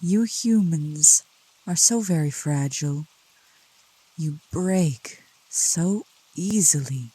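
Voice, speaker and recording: A woman voicing a gentle, teasing, possessive female demon of the woods in a soft, low ASMR roleplay, with tender, formal wording. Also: husky, dry recording, heavily acted, low-quality recording. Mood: solemn, tender. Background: rain.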